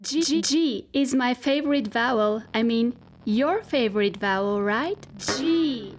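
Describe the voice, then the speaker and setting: A woman playing a possessive, coaxing spacesuit AI in a science fiction audio drama, speaking deliberately and intimately with careful articulation and a self-satisfied, controlling manner. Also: a little high, a little hiss or hum. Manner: slow, deliberate, over-articulated, intimate and insinuating. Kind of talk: theatrical science fiction monologue. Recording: music behind the voice.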